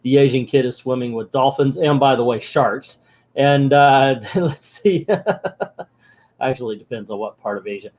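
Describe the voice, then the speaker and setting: A man's voice: mid-range voice, moderate pace, darkish tone. A man reviewing a comic book who reads it aloud and breaks off with wry, sarcastic asides in a casual manner.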